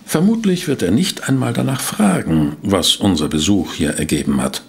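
An actor playing an eager, shrewd male investigator in a German audio drama, with a gravelly, husky voice and expressive delivery. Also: deep voice, moderate pace, exaggerated voice. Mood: reassuring.

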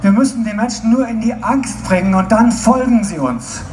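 Woman interpreting loudly at an outdoor rally. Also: on the high side, lively intonation, normal speed. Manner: loud, declaiming live translation. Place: outdoor stage at a large crowd rally. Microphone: handheld mic, filmed from far in the crowd. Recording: echoey, distant crowd phone recording. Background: cheering crowd and ambient noise.

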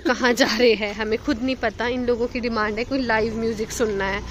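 A woman's voice: on the high side, steady tone, fairly quick, a little hiss or hum. A young woman doing casual, playful vlog commentary, mixing in English phrases, recorded on a handheld phone. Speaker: travel vlogger.

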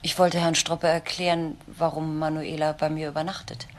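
An actress playing an earnest, idealistic social worker in a crime radio drama, protective and insistent. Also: female voice, low-pitched, flat delivery, normal speed, a little hiss or hum. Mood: firm, defensive.

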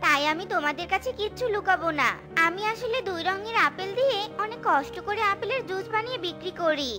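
A woman's voice: extremely high pitch, lively intonation, a little fast. A woman voicing an honest, hardworking mother bird in a children's cartoon, speaking earnestly and expressively. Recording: music under the voice.

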